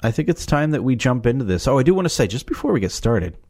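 A man hosting a casual movie watch-along, his voice scratchy and raspy from a cough, making sarcastic jokes as he watches. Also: mid-range voice, big pitch swings, fast talker, a little mellow. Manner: joking, sarcastic. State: has a cough. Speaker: host reviewing a movie.

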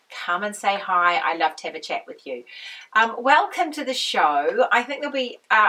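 A woman hosting her knitting podcast with a husky, croaky voice, chatting in a rambling, friendly way. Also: mid-range voice, bright, forceful tone, recorded from a distance, rough recording. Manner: rambling, friendly, with many restarts and laughs. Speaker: podcast host and knitwear designer. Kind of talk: casual chatty monologue.

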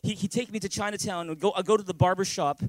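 A man's voice: high-pitched, steady tone, fast, no echo. A man preaching conversationally as a pastor, telling personal stories and often repeating phrases to his listeners. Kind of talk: conversational sermon with personal storytelling.

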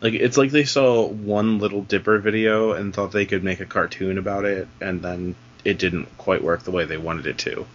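A man with a fairly low voice talks on a casual podcast, rambling with hesitations and swearing offhandedly. The recording is dull and lo-fi. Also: steady tone, moderate pace, few pauses, a little hiss or hum.

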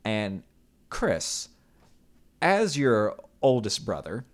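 Man, podcast co-host, teasing older-brother tone, casual chat, low pitch. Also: wildly swooping pitch, very slow. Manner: teasing, introduces topics.

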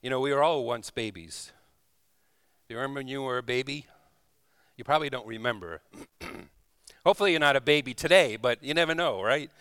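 A man's voice: mid-range voice, fairly quick, pauses often, fairly bright tone, clean tone, no room sound, clean studio sound. A man preaching informally to a congregation in church, folksy and conversational, often checking in with little tag questions. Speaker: preacher, visiting church leader. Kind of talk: informal sermon to a congregation, with scripture reading and prayer. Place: speaking live in a church.

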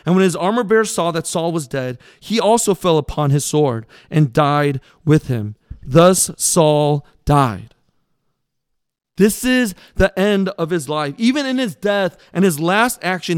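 Pastor preaching with a clear, resonant voice. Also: male voice, fairly high pitch, lively intonation. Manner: earnest, pleading, with emphatic pauses. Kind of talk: sermon. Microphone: mic that briefly cut out.

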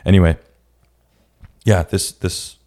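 A young man hosting his own podcast, talking in a casual, reflective way with a raspy voice and many restarts. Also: low-pitched, steady tone, slow pace, darkish tone. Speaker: podcast host. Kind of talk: casual solo podcast talk.

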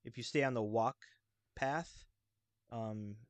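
A man's voice: medium pitch, slow pace, no room sound. Man chatting keenly, dry close sound. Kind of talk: casual chat about a video game.

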